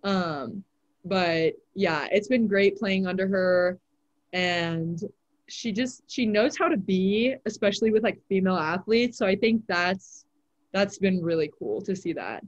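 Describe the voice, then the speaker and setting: A teenage girl, a high school volleyball player, answering interview questions in a casual way with lots of "like" fillers. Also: expressive, a little slow, highs cut off. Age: teens. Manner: chatty, many "like" fillers.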